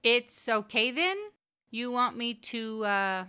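A woman performs a coy, scheming sweetheart in a sci-fi storybook. Her voice is high and clear, lilting with lively swings as she coaxes affectionately. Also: moderate pace, heavily acted. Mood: timid, coy. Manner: coy, hesitant, pet names. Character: coy, coaxing female lover, sweet-talking schemer.

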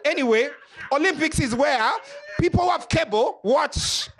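A man from Kenya doing stand-up comedy on a club stage, loud and animated, joking with the crowd. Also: very high voice, normal speed, creaky, a little hiss or hum.

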